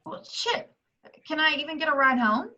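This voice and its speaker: A woman's voice: high voice, expressive, moderate pace, bright, forceful tone. A woman playing a pushy, sassy TV reporter in an amateur horror table reading on a dull microphone. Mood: exasperated.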